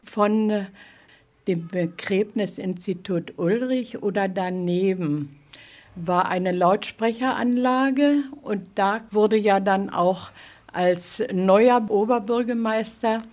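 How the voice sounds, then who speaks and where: A woman's voice: medium pitch, slow, darkish tone. An older woman from eastern Germany recalling events in conversation as an eyewitness. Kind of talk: conversational recollection.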